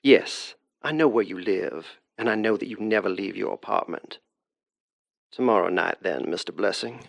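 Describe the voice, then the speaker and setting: An actor playing a sinister, wealthy collector in an audio drama, formal, deliberate and politely insistent. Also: male voice, lowish voice, animated, gravelly. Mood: quietly menacing.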